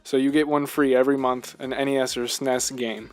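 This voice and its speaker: A man co-hosting a casual video game discussion, talking in an animated, rambling way and repeating himself for emphasis. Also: mid-range voice, brisk, faint music behind. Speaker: podcast co-host.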